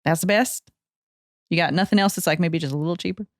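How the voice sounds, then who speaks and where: A woman's voice: on the low side, no room sound, clean studio sound. A woman playing a tabletop role-playing game, chatty and playful. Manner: playful, chatty, quick to laugh. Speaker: player. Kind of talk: casual tabletop play.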